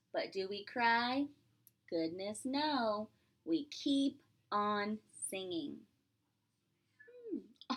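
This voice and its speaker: Female pre-K teacher for a picture-book read-aloud; slightly high voice, unhurried pace, playful delivery with simple explanations. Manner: expressive, drawn-out, playful exclamations; simple explanations with frequent questions to the listener. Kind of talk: read-aloud to young children with questions and singing.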